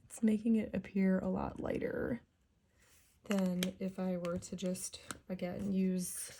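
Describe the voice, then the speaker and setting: A woman hosting a coloring channel, chatting casually while she works, with a raspy voice and a soft, relaxed, slightly self-conscious delivery that often drops to a half whisper. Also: mid-range voice, a little slow, slight background noise. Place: home.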